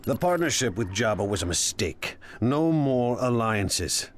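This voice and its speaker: An actor playing a proud, ruthless crime lord in a video game, with an imperious, deliberate, menacing delivery. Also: male voice, medium pitch, big pitch swings, slight background noise. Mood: cold resolve. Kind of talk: dramatic video game acting.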